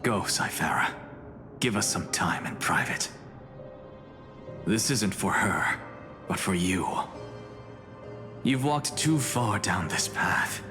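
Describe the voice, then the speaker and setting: A solemn, fatalistic fantasy game figure with a mid-pitched voice. His slow, breathy delivery is portentous and dramatic, set over music. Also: expressive, big-room sound. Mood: grave, hushed.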